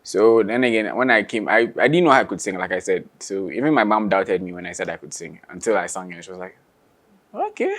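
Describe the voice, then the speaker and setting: Young male guest, low voice, easygoing, laughs a lot, restarts lines; casual sit-down interview. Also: fairly quick. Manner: relaxed, laughs often, restarts sentences. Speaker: singer and guitarist guest.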